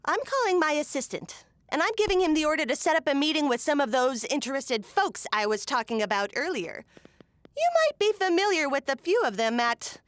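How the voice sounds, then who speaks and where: A scheming small-town mayor in a comedy audio drama. Her high voice swings widely as she taunts in a sugary, condescending, folksy way. The sound is close and dry. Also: expressive, a little fast, a bit bright, no echo. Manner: theatrical, taunting, mock-sweet folksy delivery.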